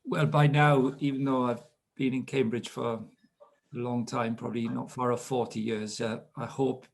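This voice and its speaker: A Welsh man in his late fifties tells his story in an easy, anecdotal way. His voice is gravelly and a little husky, sitting in a middle pitch. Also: little pitch movement, normal speed. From South Wales. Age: 50s. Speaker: guest speaker. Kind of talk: relaxed storytelling interview.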